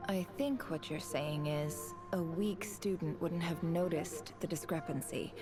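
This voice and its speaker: Guarded young student, low-pitched. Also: female voice, a little slow. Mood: polite, probing. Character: guarded, sharp-minded young female student, quietly bitter. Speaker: video game character voice. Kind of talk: scripted game cutscene acting. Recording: background music behind dialogue.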